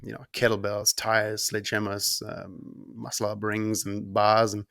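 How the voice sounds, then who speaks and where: A man in his thirties, originally from Germany, whose accent blends German with New Zealand. His voice sits on the low side and he tells his story in a loose, rambling way, full of ums and false starts. The recording is dry and close. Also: a little slow, gravelly, no echo. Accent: mix of German and New Zealand. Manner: relaxed, rambling, with frequent ums and restarts. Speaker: podcast guest. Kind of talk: casual conversational interview.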